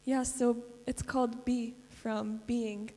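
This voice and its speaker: A young woman from Kuwait, soft-spoken and unhurried, talks with many fillers. Her voice sits a little high but stays flat and dark in tone. She is heard through the long reverb of a large conference hall. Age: young adult. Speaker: conference speaker and stretch guide. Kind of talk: conference interview and guided stretching.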